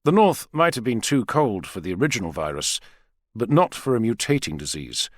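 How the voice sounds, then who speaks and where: A man's voice: medium pitch, sing-song, normal speed, no room sound, studio quality. A man reading a novel aloud as a scripted audiobook narration.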